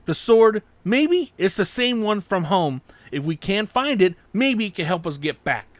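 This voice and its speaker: Man as brash, loud young fighter. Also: high-pitched, big pitch swings, measured pace, dry recording, voice pushed up. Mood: excited. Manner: loud, blunt.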